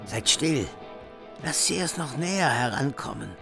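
A stern, battle-ready hero with a medium-pitched male voice. He plays in radio drama style, with clear guitar-like music behind him. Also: expressive, a little slow. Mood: tense, grim. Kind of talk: German radio play acting.

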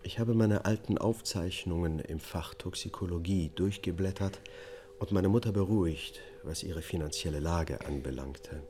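An actor playing a subdued, haunted man on trial for killing his family, testifying in a measured, matter-of-fact way in a radio drama. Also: low-pitched, mellow, close to an everyday voice.

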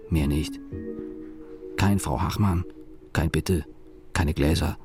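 An actor playing an employee's sardonic inner voice, narrating a radio play in dry, clipped observations. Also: male voice, extremely low pitch, slow pace, a little mellow, faint music behind, heavily acted. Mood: dry, observant. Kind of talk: radio play narration, present-tense monologue.

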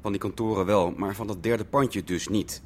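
An actor playing a cautious, stubborn squatter at a meeting in a Dutch radio drama. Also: male voice, fairly low pitch, steady tone, moderate pace, a little hiss or hum, lightly acted. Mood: matter-of-fact.

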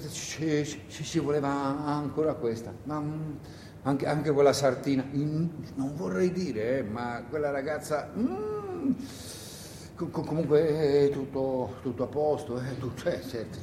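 A man's voice: animated, measured pace, mellow. Man playing a stammering, flustered village clerk, echoey. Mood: nervous, hesitant. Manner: hesitant, stammering, fretful. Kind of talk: solo theatre monologue. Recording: echoey room with noise and rumble.

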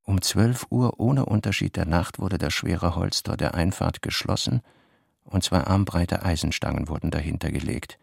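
A man's voice: deep voice, moderate pace, creaky, breathy edge, no echo, studio quality. A man giving a dramatic literary reading for a radio play, often in clipped, note-like phrases. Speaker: literary reader.

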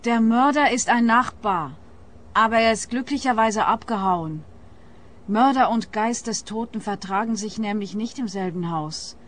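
A woman's voice: on the high side, a little slow, fairly bright tone. A woman playing a gullible, superstitious young neighbor in a radio play, speaking earnestly and very literally. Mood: earnest, eerie. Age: young adult.

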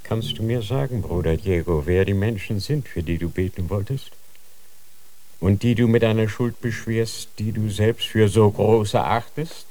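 An actor playing a stern elderly grand inquisitor in a radio drama, grave and commanding. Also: male voice, lowish voice, moderate pace, dark tone, lightly acted, poor audio.

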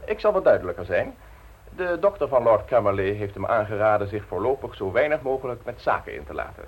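An actor playing a suave, insolent blackmailer in a radio play, mockingly polite and smoothly domineering. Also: male voice, on the low side, sing-song, fast talker, narrow, tinny audio, exaggerated voice. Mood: smug, commanding. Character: suave, insolent male blackmailer installing himself as private secretary.

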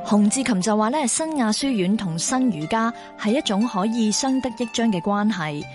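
A woman's voice: mid-range voice, animated, normal speed, over music. Woman narrating a culture documentary. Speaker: program host and narrator.

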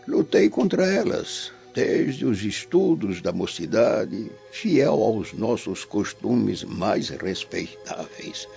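A man's voice: lowish voice, vocal fry, a little mellow, exaggerated voice. An actor playing a dying, philosophical old Roman father in a Brazilian radio drama, grave and tender, declaiming solemnly. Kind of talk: dramatic radio play acting. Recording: dull lo-fi radio drama, music behind.

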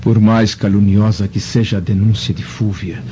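An actor with a Brazilian accent playing a dignified, earnest Roman senator in a radio drama, formal and measured. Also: male voice, lowish voice, steady tone, lightly acted. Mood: troubled. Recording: dull lo-fi audio with music.

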